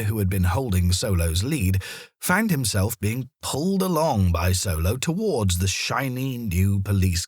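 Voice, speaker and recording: A man narrating a children's story in an expressive storytelling manner, with deliberate pauses. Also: on the low side, slightly rough, no room sound, studio quality. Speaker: audiobook narrator.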